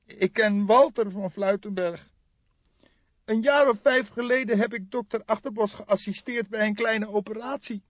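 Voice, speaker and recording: A man's voice: high voice, fairly even intonation, moderate pace, no room sound, dull audio, pitched above the performer's own voice. A man voicing a distressed young woman, a doctor's assistant, anxious and hesitant, in an audiobook. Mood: reluctant.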